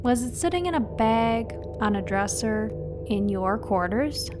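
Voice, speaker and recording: A woman's voice: a little high, animated, measured pace, music in the background. A woman playing an exasperated, sarcastic mercenary pilot with a blunt manner. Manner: blunt, sardonic.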